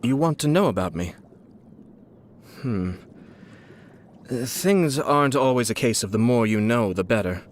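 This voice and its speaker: A man voicing a suave, scheming young nobleman and clan head from a video game, with polished, formal, measured speech and a slyly amused, teasing politeness. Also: medium pitch, lively intonation, slight background noise, lightly acted. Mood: genial, coy. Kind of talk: video game character voice lines. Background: music.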